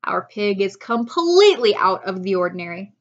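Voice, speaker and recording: A woman's voice: medium pitch, big pitch swings, normal speed, lo-fi sound, natural voice. A woman voicing a middle-aged farmer husband from a storybook, earnest and awestruck, solemn and insistent in his emphasis. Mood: solemn, grave.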